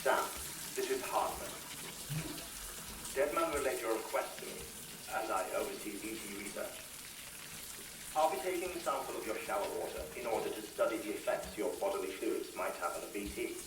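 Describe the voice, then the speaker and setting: A man's voice: lowish voice, expressive, fairly quick, pauses often, natural voice. An actor playing an erudite, reserved, curious male scientist with formal, precise speech in a video game cutscene. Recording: echoey, distant, noisy with running water.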